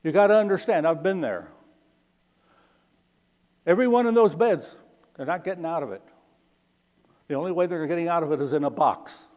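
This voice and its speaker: A middle-aged man speaks in a fairly high voice, telling mission stories in a folksy way and checking in with "okay?" again and again. His voice carries the long reverb of a big church hall. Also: expressive, normal speed, long pauses, a little mellow. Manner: folksy, keeps asking "okay?". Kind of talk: Sunday school talk.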